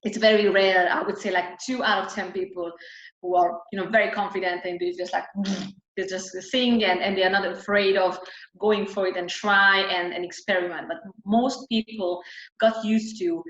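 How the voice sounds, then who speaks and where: Woman vocal coach, interview guest, halting nonnative English, roomy recording. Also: medium pitch, steady tone, a bit bright. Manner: frequent restarts and fillers, nonnative phrasing. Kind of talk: casual reflective interview talk. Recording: roomy, dull lo-fi sound.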